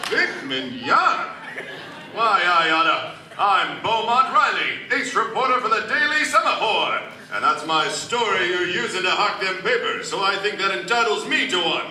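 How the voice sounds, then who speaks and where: Cocky old-timey reporter, high forceful voice, pompous, comic radio play, recorded far off. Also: male voice, sing-song, moderate pace, heavily acted. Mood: smug. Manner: theatrical, slangy, pompous. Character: cocky, exasperated male 1940s ace newspaper reporter. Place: convention stage, recorded from the audience. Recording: distant camera, roomy hall, faint noise.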